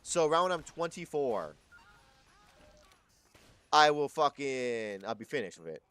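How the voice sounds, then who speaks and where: A man's voice: medium pitch, big pitch swings, a little slow, a bit bright, clear, resonant tone, no echo, faint music behind. A man of twenty-two chatting casually on a live stream, rambling and correcting himself, swearing freely.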